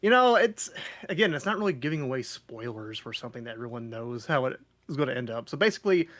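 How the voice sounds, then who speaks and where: A man's voice: mid-range voice, very animated, quick pace, a bit bright. Joking man chatting as stream guest. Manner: joking, teasing. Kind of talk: casual chat.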